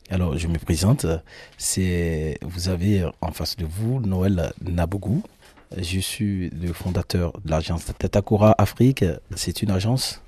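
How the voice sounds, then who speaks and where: A man's voice: low voice, darkish tone, husky, no room sound. A man in his thirties from Benin with a Beninese accent, telling his own story in an interview as a guide, repeating words for emphasis. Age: 30s. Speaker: tour agency founder and nature guide.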